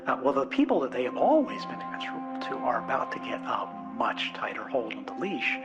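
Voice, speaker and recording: A man's voice: mid-range voice, very animated, brisk, lo-fi sound. An American man giving an informal, unscripted public speech in a conversational, emphatic way, often checking in with rhetorical questions. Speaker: conference speaker. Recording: speech with music laid underneath. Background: music.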